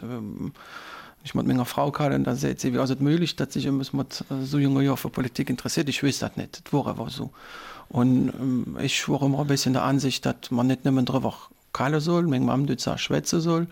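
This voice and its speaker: A man's voice: medium pitch, creaky. A man in his thirties from German-speaking Belgium, a politician guest on a radio show, speaking an East Belgian German dialect and explaining step by step with many hesitation sounds.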